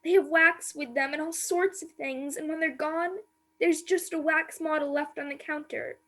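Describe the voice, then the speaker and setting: A woman plays an innocent young maid held captive in a radio drama. The voice is extremely high and girlish. The delivery is timid, sorrowful and plaintive, captured over a video call. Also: fairly even intonation, normal speed, fairly bright tone. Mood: hushed horror. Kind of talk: radio play acting.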